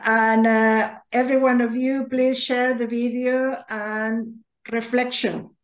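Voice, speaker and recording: A woman's voice: fairly high pitch, level tone, slow. Hesitant woman, muffled call audio. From Galicia, Spain. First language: Galician. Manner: hesitant, many fillers. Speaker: interviewer, host. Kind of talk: interview questions.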